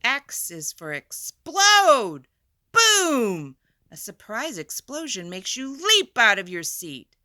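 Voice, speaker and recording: Slow, very animated woman reading a storybook. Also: on the high side. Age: adult. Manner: deliberate, dramatic emphasis, chatty questions to listeners. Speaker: storyteller, teacher reading to children. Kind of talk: expressive picture book read-aloud with asides.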